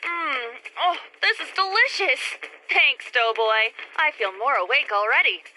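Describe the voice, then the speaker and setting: An actress playing a wholesome, gushing housewife and customer in a stagey 1950s radio advert style. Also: female voice, sits high, animated, a little slow, pushed and bright, no room sound, full character voice, rough recording. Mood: delighted customer. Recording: music bed behind voice.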